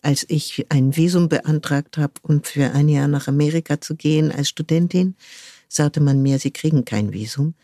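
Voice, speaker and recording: An older woman from France, speaking thoughtfully as an interview guest and pausing often to search for the right word. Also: sits low, mellow, no echo, clean studio sound. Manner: thoughtful, searching for words with frequent pauses. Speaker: guest, cultural manager. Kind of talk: reflective conversational interview.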